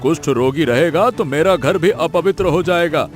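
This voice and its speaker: A man's voice: on the high side, moderate pace, soft background music, lightly acted. A man voicing a callous, greedy village healer in a Hindi cartoon story, drawling and hemming with a dismissive sneer. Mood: scornful.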